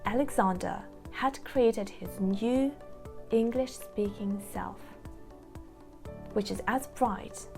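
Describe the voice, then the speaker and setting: A woman English teacher speaks in an earnest, reflective way, addressing the viewer directly. Her voice sits somewhat high, and soft music plays faintly underneath. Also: creaky. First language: Russian. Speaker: English teacher, course founder. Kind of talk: scripted promotional talk to camera.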